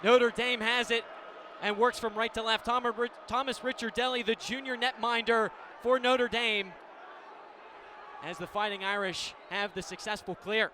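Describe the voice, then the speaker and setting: An American man doing live radio play-by-play of a game in clipped descriptive phrases, ready to burst into excited calls. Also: extremely high pitch, moderate pace. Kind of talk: live sports play-by-play commentary. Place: indoor stadium broadcast position. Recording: live radio with steady venue noise.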